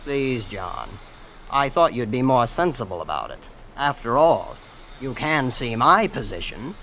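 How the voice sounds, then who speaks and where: A genteel, unruffled heir in a vintage radio play. The medium-pitched voice rises and falls widely in theatrical phrases, with faint broadcast noise behind it. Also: male voice, big pitch swings, a little slow, a bit bright. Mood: placating. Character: genteel, blandly reasonable rich diamond heir. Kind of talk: 1940s radio drama acting. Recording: noisy old radio broadcast.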